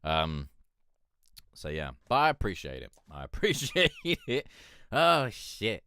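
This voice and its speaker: A man with a deep voice hosting a music review show, talking frankly and loosely, swearing and rambling with lots of fillers. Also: huge pitch swings, slow, sharp tone, clean tone. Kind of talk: casual chat reviewing submitted songs.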